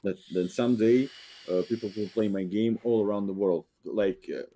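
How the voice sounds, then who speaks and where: Mellow low-voiced man. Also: steady tone, moderate pace, slight background noise. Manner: hesitant, many fillers and restarts. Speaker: game director. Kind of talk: podcast guest update, halting.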